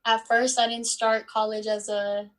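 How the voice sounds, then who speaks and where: A woman in her twenties, born in Bosnia and Herzegovina, answering interview questions in an unhurried way with many pauses and fillers. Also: fairly high pitch, level tone. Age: 20s. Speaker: guest, student athlete.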